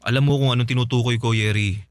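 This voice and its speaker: A man's voice: mid-range voice, steady tone, quick pace, dry recording, studio quality, close to an everyday voice. An actor playing a lovesick young man pleading emotionally with his ex in a Filipino radio drama. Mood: hurt, coaxing. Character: Terence, desperate, pleading, lovesick young ex-boyfriend.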